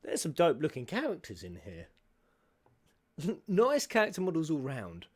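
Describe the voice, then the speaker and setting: A man giving a casual, excitable reaction commentary on game cutscenes, slangy and sweary, as a streamer. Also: on the high side, moderate pace, slightly rough.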